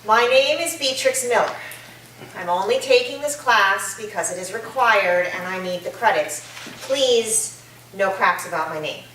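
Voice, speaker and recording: A woman's voice: medium pitch, expressive, measured pace, fairly bright tone. A woman in a staged play reading, playing a surly, skeptical college student with a blunt, dismissive manner. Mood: irritated, skeptical. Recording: echoey room, distant mic, faint noise.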